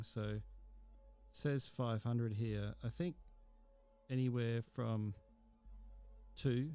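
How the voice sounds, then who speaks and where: Slow, gravelly-voiced male instructor. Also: fairly low pitch, warm tone. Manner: unhurried, thinking aloud with fillers. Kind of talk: screen-recorded software tutorial.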